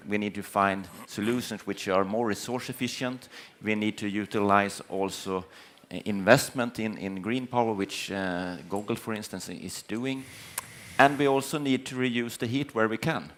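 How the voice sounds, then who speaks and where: A man's voice: lowish voice, moderate pace, a bit bright, slight background noise. A man speaking earnestly and emphatically in an unscripted panel discussion, in a conciliatory way. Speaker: panelist, energy company chief executive. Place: conference stage panel.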